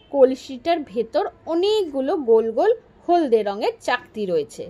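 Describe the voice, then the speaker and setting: A woman narrating a short story as a storyteller, in an expressive, dramatic audiobook style. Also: sits high.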